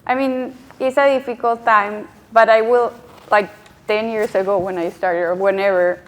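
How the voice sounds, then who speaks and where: A woman's voice: medium pitch, fairly even intonation, normal speed. A woman from Colombia with a Colombian accent, speaking as a panelist and giving earnest, encouraging career advice. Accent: Colombian Spanish. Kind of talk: conference panel talk. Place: conference panel on stage.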